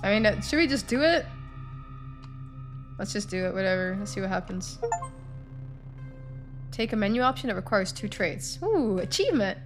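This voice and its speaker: Woman streaming a story game, reads it out and chats, mid pitched voice, music behind her. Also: very animated, moderate pace, pauses often. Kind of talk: live playthrough narration and chat.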